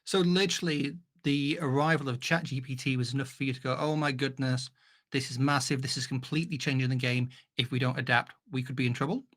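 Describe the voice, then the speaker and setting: A man's voice: mid-range voice, steady tone, brisk, a bit bright. A man hosting an interview podcast, introducing his guest and asking questions. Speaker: show host and interviewer.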